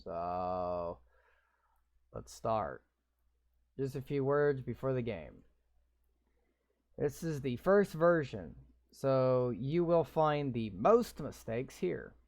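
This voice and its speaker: Slow-talking sarcastic young gamer man. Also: medium pitch, big pitch swings, darkish tone, no room sound. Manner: sarcastic, swears while commenting. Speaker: gamer playing a visual novel. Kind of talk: reading game text aloud with live reactions.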